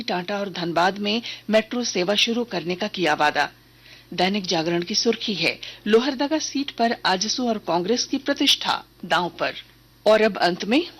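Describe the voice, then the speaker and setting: Husky-edged woman reading radio news. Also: mid-range voice, fairly bright tone. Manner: formal, measured delivery. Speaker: news reader. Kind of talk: scripted radio news bulletin.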